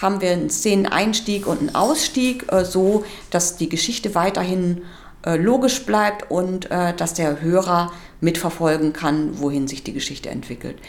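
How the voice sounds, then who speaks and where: A woman who moderates and directs workshops, giving unscripted interview answers and haltingly searching for words. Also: fairly low pitch.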